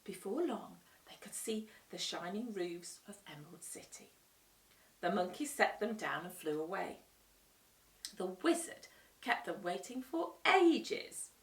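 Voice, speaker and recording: A woman reading a children's storybook aloud with expressive narration. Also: fairly low pitch, unhurried, far from the mic. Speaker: storytime reader. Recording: roomy echo, away from the mic.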